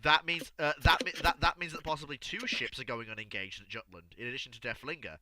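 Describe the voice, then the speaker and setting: A man's voice: medium pitch, steady tone, fast talker, a crowd in the background. A man hosting an unscripted history debate, insistent and often repeating his words as he cuts in. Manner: insistent, repeats words and stammers when cutting in. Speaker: host of a live history discussion show. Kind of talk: unscripted animated group debate.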